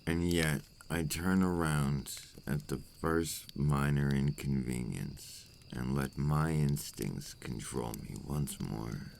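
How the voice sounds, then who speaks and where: Man acting a very deep, gravelly, brooding vampire. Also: darkish tone, slight background noise, heavily acted. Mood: remorseful. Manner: slow, deliberate, formal phrasing. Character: remorseful adult male vampire, brooding and tormented by his curse. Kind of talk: ASMR roleplay acting, intimate monologue.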